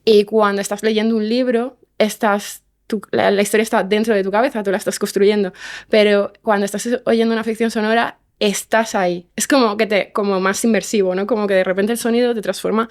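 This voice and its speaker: A woman speaks in a relaxed interview style, as a guest writer. Her pitch is average and moves within a narrow range. She talks informally, often checking for agreement with short tag questions. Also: a little fast. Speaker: guest, fiction podcast writer. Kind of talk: casual interview conversation.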